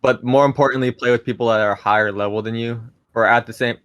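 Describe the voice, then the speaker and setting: Relaxed young man, dry close sound. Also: medium pitch, fast, no echo. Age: 20s. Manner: relaxed, rambling, with frequent restarts and fillers. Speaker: guest. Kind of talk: casual podcast chat.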